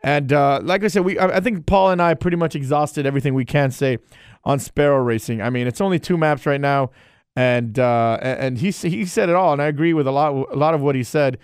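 A man's voice: fairly high pitch, a little fast, clear, resonant tone, dry recording, studio quality. A man hosting a casual solo podcast and chatting in a loose, rambling, friendly way. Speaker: podcast host and streamer.

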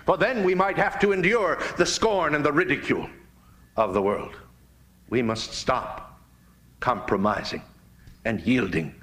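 An American man preaching in a fiery oratorical style, emphatic and solemn, with deliberate dramatic phrasing. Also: medium pitch, a bit bright. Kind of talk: fiery oratorical sermon speech.

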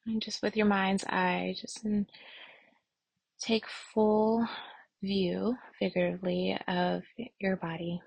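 A woman meditation instructor speaks in a soft, breathy voice with a gravelly creak at the edges. She moves very slowly and soothingly through guidance and prayer, leaving long pauses, in a dry, close recording. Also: medium pitch, little pitch movement, no room sound, poor audio.

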